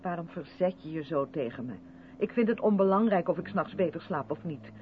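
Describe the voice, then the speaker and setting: A narrow, hissy vintage radio recording carries an actress as an earnest, defensive woman of the theatre. Her mid-pitched voice moves animatedly as she insists and justifies herself in radio drama style. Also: animated, a little fast, lightly acted. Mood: defensive, insistent. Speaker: radio play actor. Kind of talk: Dutch 1970s radio drama acting.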